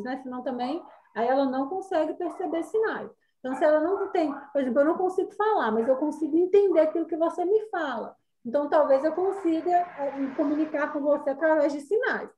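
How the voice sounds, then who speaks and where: A Brazilian woman teaches an online class in a high, mellow voice. She explains chattily with many fillers, heard over a video call mic. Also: rough recording.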